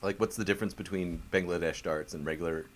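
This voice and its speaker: A man hosting a band interview, speaking in a deadpan, halting manner. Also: deep voice. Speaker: talk show host.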